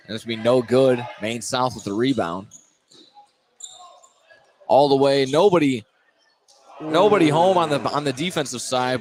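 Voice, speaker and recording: A man doing live play-by-play basketball commentary, halting at times and correcting himself mid-call. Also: medium pitch, a little hiss or hum, low-quality recording. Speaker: play-by-play announcer. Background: gym ambience with bouncing basketballs.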